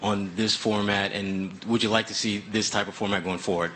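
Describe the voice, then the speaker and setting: A man's voice: on the low side, steady tone, moderate pace, fairly bright tone. A male reporter asking a plain question at a press conference.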